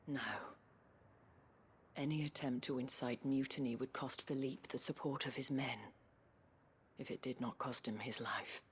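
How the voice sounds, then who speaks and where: An actress playing a worldly, anxious dame in a video game, measured, eloquent and weary, with a breathy, hushed delivery. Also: female voice, a bass voice, fairly even intonation, slight background noise. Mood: bitter, resigned. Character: worldly, anxious dame looking after her wards. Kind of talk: scripted video game drama.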